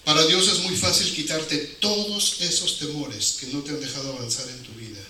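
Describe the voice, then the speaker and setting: A man's voice: fairly high pitch, moderate pace, bright, forceful tone. A man with a Mexican accent preaching an informal sermon in colloquial words, using rhetorical questions and repeating phrases for emphasis. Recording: very echoey hall, distant, noisy.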